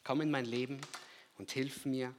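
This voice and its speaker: A man from Kazakhstan preaching a sermon, swinging from loud emphatic lines to quiet, hushed asides. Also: medium pitch, steady tone, moderate pace, slight background noise. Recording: long hall reverb.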